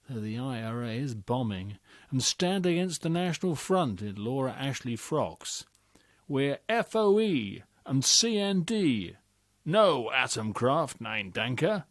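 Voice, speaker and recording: A man giving a slow, measured reading of a poem, recorded close and dry. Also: mid-range voice, dry recording. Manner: measured, deliberate delivery. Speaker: poetry reader. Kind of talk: slow dramatic poetry reading.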